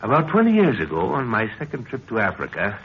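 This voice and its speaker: Commanding older visionary scientist, low and very animated, 1930s radio adventure style. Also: male voice, fast talker. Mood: eager, intent. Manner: emphatic, declamatory. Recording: dull, noisy 1930s radio recording.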